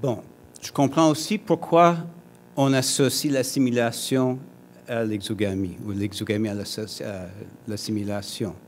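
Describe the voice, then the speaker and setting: A mid-pitched man from Alberta, English his first language, addresses a conference hall. He talks conversationally, tripping over words and restarting, dropping in joking asides to the audience. A faint hum sits under his voice. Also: fairly even intonation, moderate pace. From Alberta, Canada. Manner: hesitant, frequent restarts and fillers. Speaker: conference speaker. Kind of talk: conference talk.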